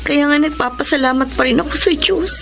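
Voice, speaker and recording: An actress with a Filipino accent playing a dutiful, grateful young daughter confiding in a radio drama. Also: female voice, sits high, fairly quick, creaky.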